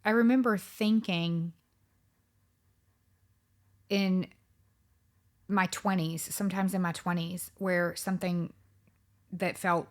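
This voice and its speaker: Podcast host, woman in her thirties, low-pitched, reflective and meandering. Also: fairly even intonation, slow, pauses often. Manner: reflective, rambling with many fillers and restarts. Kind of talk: casual chat.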